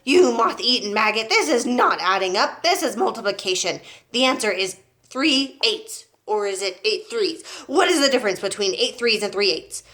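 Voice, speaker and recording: A woman's voice: mid-range voice, animated, normal speed, natural voice. A woman voicing a furious, bullying headmistress in a children's storybook, barking out insults. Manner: shouting, barking insults at pupils. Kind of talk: children's storybook read aloud.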